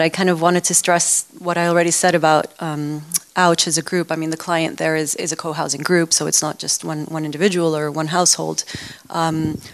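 A woman speaking off the cuff as a panelist, explaining her points in a hedging way. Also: lowish voice. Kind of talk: unscripted panel discussion.

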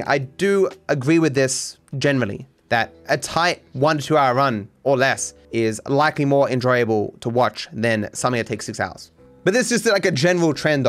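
A man in his thirties streaming from home on a desk mic, casually reacting to viewer takes in an opinionated, rambling way and swearing freely. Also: medium pitch. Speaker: speedrunning streamer. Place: home streaming room, indoors.

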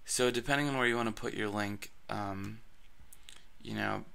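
A man hosting a screen-recorded blogging tutorial, his voice raspy from allergies, talking casually with plenty of fillers and restarts. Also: lowish voice, fairly even intonation, normal speed, bright, forceful tone.

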